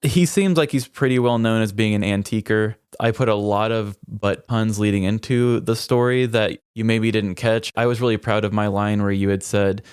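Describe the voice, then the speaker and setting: A man's voice: fairly low pitch, fairly even intonation, moderate pace, few pauses, darkish tone, clean tone, no echo, studio quality. A man co-hosting a podcast in casual, humorous chat, telling stories playfully and quoting others as he goes. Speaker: podcast co-host.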